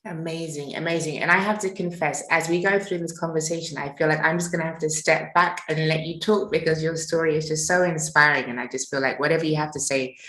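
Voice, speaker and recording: A woman's voice: low voice, fairly even intonation, fast, hardly any pauses, fairly bright tone, roomy sound, rough recording. A woman hosting an interview over a video call, effusive and admiring as she asks her questions. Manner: effusive, admiring, repeats praise. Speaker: interviewer, host.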